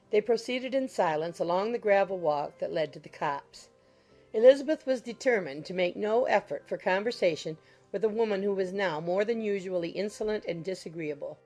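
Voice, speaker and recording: A woman's voice: medium pitch, a little mellow. A woman reading scripted literary narration as an audiobook narrator.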